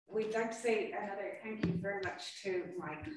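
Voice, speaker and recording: A woman's voice: medium pitch, normal speed, slight background noise. A woman chairing a lecture, asking a question in a hesitant, polite way. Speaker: event chair. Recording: echoey hall, distant mic.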